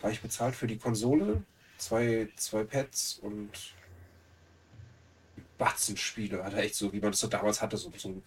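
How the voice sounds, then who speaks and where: A man's voice: lowish voice, normal speed, far from the mic, slight background noise, poor audio. A man with a cold who sounds nasal, chatting casually as a podcast co-host with joking, teasing banter. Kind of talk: casual chat.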